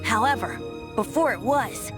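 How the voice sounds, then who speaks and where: A woman's voice: on the high side, lively intonation, a little slow, music in the background. A woman voicing a breezy, whimsical young male bard in a video game, with a lilting, wistful storyteller delivery. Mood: breezy, musing.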